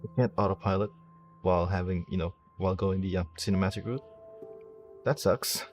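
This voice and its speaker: A man's voice: sits low, fairly even intonation, a little fast. A man giving casual gameplay reactions, joking and self-deprecating, often stammering and exclaiming as he goes. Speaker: video game streamer. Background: game music and dialogue.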